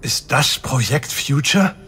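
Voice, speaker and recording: A man's voice: mid-range voice, expressive, slow pace, pushed and bright, slight background noise. An actor playing an agitated young man confronting his long-lost father. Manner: agitated and demanding. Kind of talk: audio drama acting.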